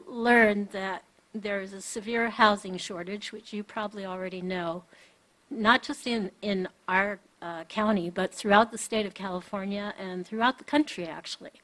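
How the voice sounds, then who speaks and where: A woman giving public comment at a county board meeting at a podium microphone, earnest and pleading, halting with many pauses. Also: medium pitch, a bit bright, rough recording.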